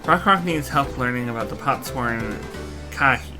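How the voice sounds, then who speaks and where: A man's voice: medium pitch, animated, moderate pace, lightly acted. A man doing a small eager creature with broken speech. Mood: earnest. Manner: broken third-person speech. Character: small eager creature helper, speaks of itself by name. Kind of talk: fan voicing of game dialogue. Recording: game music behind.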